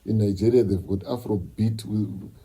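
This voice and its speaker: A man's voice: fairly low pitch, steady tone, normal speed, warm tone, slightly rough. A man, a musician and producer, speaking as a guest in an interview in an emphatic, lecturing way. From Zimbabwe. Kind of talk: candid interview talk.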